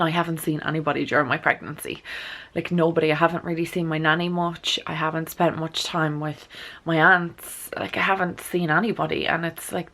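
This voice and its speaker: A congested young Irish woman talks casually to her camera at home. Her voice sits a little low and sounds nasal from blocked sinuses. She rambles in an easy, chatty way, full of "like.". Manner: chatty, peppered with "like". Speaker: beauty and lifestyle vlogger. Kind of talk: casual vlog chat to camera. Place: at home, indoors.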